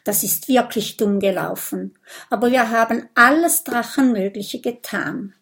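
Tiny male storybook dragon, played by a woman, high-pitched, self-important, excitable, stammers when rattled. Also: measured pace, creaky. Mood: boastful, chatty. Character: tiny boastful, jittery male burrowing dragon.

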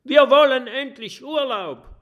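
Ein Mann spricht eine brummige Piratin mit hoher Stimme. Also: male voice, mid-range voice, animated, slow pace, clean tone, dull audio, pitched above the performer's own voice. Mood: grumpy. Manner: grumbling. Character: grumpy female pirate.

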